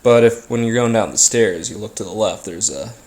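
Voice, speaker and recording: A man telling a first-person story casually, as if being interviewed, in a halting, hesitant way with pauses and repeats. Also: on the low side, darkish tone, natural voice. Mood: uneasy, reflective. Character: ordinary man recounting childhood brushes with a haunting.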